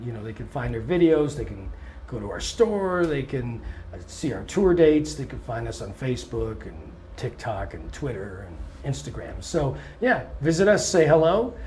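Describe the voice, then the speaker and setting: A touring blues singer and guitarist with a scratchy voice, answering interview questions in a relaxed, thoughtful way and often pausing on "um". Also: male voice, mid-range voice. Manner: relaxed and thoughtful, many ums, restarts now and then.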